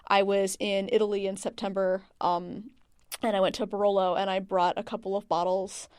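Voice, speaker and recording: A woman's voice: medium pitch, flat delivery, moderate pace, darkish tone, smooth, no room sound, clean studio sound. A woman answering as an interview guest in a casual, chatty, rambling way, with plenty of "like" and "you know". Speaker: interview guest, wine region marketing manager.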